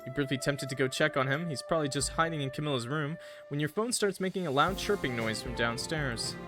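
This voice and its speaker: A man's voice: fairly high pitch, brisk. A man streaming a visual novel, reading it aloud expressively with casual, jokey reactions. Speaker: gaming streamer. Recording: music behind the voice.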